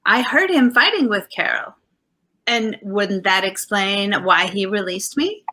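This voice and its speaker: An actress playing a detective's loyal, insistent wife in 1940s radio style, emphatic and pleading. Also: female voice, mid-range voice, animated, measured pace, sharp tone. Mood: urgent, insistent.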